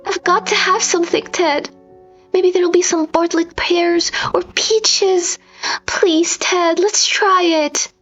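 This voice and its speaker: A woman's voice: very high-pitched, fairly even intonation, takes it slowly, fairly bright tone, muffled recording, faint music behind, pitched above the performer's own voice, exaggerated voice. A woman voicing a starving, needy woman who begs desperately in a dramatic horror story reading. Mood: desperate pleading. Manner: pleading, needy.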